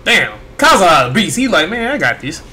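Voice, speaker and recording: A man's voice: high voice, very animated, moderate pace, pushed and bright, slight background noise. A man reacting casually to a video game as he plays, in short informal remarks. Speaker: gamer, streamer.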